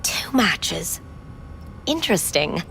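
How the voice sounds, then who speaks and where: An actress playing a poised, courteous female receptionist at a futuristic club in a video game, with a formal, measured manner. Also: on the low side, very animated, sharp tone, a little hiss or hum, full character voice, low-quality recording. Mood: intrigued. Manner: formal, measured, smoothly sales-like. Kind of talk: video game dialogue acting.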